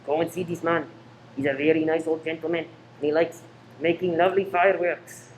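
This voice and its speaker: A man playing a menacing spy ringleader in a radio play, measured and scornful as he gives orders. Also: on the high side, flat delivery, natural voice. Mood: smoothly menacing. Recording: noisy room recording.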